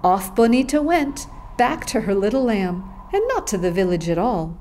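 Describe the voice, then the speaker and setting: A woman's voice: medium pitch, very animated, moderate pace, a little mellow, slight background noise. A woman narrating a scripted children's story as the narrator of a puppet show.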